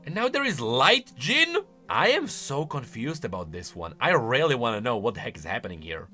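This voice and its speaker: A man's voice: medium pitch, very animated, moderate pace, pushed and bright, soft background music. A man hosting a game lore channel with casual reaction commentary, musing aloud and leaning hard on key words.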